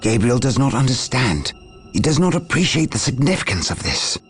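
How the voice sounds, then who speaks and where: A man playing a solemn, proud scholar among space marines in a video game, speaking in a measured, formal way. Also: mid-range voice, very animated, soft background music, natural voice. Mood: proud, defiant.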